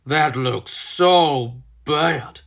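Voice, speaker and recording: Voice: mid-range voice, drawn-out words, vocal fry, fairly bright tone, heavily acted. A storybook narrator voicing a bold, impulsive male rooster with a brash, informal manner. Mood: wary.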